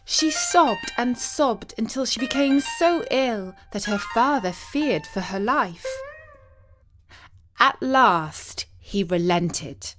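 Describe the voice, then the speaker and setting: Woman reading a dramatic tale, slightly high voice, really unhurried, solemn, soft music track under it. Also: lively intonation. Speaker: storyteller. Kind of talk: scripted dramatic story narration.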